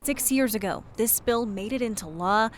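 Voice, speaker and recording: A woman's voice: a little high, moderate pace. An American woman reporter narrating a scripted news package.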